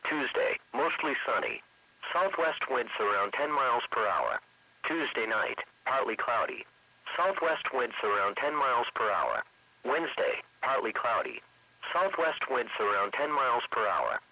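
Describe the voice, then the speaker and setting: A man's voice: mid-range voice, very animated, measured pace, vocal fry, no room sound. A man reading a scripted weather forecast in a formal, segmented, automated way as a radio announcer. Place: radio broadcast captured for an online stream. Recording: muffled lo-fi weather radio broadcast.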